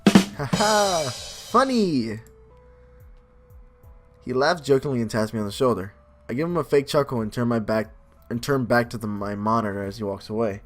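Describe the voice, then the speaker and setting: Male gaming host, mid-pitched, narrates on-screen text with casual asides over faint music. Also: moderate pace, pauses often. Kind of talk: reading game text aloud with casual commentary.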